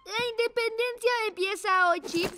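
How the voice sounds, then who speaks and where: A woman's voice: very high voice, moderate pace, over music. An actress voicing a proud young boy pug, a bossy know-it-all big brother in a cartoon. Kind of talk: Spanish dub of a preschool cartoon.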